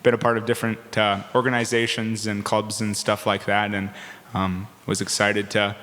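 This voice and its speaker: Bright young Midwestern man in a hall. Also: on the low side, level tone, normal speed. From Minnesota, US. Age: 20s. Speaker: workshop participant. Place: community hall, live event. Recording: long hall reverb.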